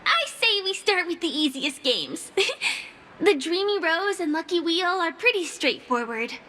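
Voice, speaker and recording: A woman's voice: very high voice, very animated, a little slow, pushed and bright, heavily acted. A woman voicing a sweet, polite young female performer and friendly guide in a video game. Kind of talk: scripted video game dialogue.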